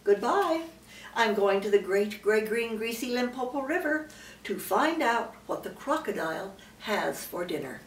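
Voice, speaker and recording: A woman's voice: fairly high pitch, lively intonation, measured pace. Storybook baby elephant, male, curious and well-mannered, read by an older woman; roomy, distant recording. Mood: bright farewell. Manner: polite, earnest questioning. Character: curious, polite young male elephant child. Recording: roomy, distant mic, faint background noise.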